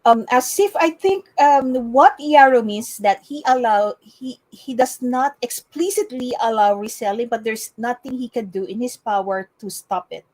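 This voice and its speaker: A woman hosting a live interview, asking brisk questions and quickly acknowledging the answers. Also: a little high, darkish tone. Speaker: interviewer, channel host.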